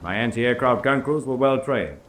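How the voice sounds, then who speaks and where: A man portrays a haughty U-boat officer in a radio drama. He speaks in a mid-pitched voice with clipped, unshakeable confidence, and faint noise sits behind him. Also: animated, moderate pace, close to an everyday voice. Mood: haughty, assured. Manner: boastful, certain, clipped. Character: proud, self-assured U-boat lieutenant commander. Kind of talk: radio drama courtroom acting.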